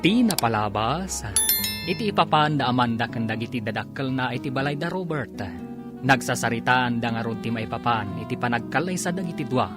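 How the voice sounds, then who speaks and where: A man announces and narrates a scripted radio serial. His pitch rises and falls widely, with dramatic, stretched phrasing. Music plays clearly behind him. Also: lively intonation, fairly quick.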